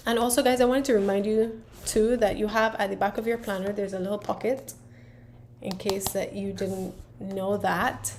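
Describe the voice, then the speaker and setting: A woman from Barbados with a Barbadian accent, casually walking viewers through her planner with lots of "um" and "right?". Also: mid-range voice. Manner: relaxed, many fillers and "right?" tags. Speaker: planner creator and presenter. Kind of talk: casual explanatory walkthrough.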